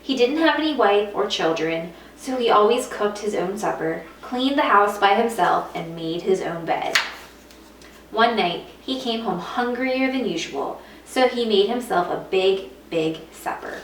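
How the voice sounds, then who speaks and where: A woman teacher reading a children's picture book aloud to students, with expressive storytelling and stressed repeated words. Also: mid-range voice, unhurried. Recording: roomy echo, distant mic, faint noise.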